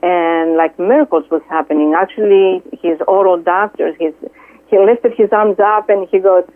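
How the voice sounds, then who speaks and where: A middle-aged woman from Greece with a Greek accent, a guest speaking earnestly and haltingly, with many fillers, over the phone. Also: lowish voice, no echo. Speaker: guest, Reiki healer. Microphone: telephone. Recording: tinny dry phone line.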